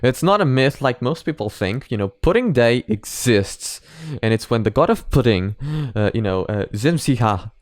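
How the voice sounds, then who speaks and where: A man's voice: medium pitch, expressive, normal speed, few pauses, no room sound, clean studio sound. A man hosting a reaction video, talking in a casual, rambling, conversational way.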